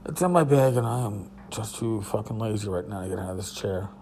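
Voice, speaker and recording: A tired man with a hoarse voice, hosting a casual solo podcast from a hotel room and rambling loosely with a bit of swearing. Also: lowish voice, brisk, background noise. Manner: rambling, profane, restarts. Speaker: podcast host.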